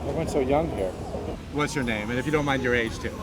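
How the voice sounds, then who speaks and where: Fast-talking man interviewing on the street. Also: medium pitch, slight background noise, rough recording. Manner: repeats answers back, stumbles on openers. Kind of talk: quick casual questioning. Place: outdoors on a city campus. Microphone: handheld mic.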